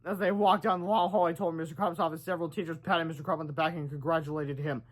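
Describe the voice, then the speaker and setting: Higher-pitched man reading a children's book. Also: fairly even intonation, quick pace. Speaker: storybook reader. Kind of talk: read-aloud narration of a children's book.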